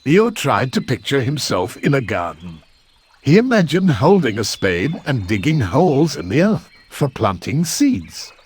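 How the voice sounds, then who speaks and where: Male storybook narrator for children, lively intonation, scripted read-aloud. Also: medium pitch, measured pace, slightly rough.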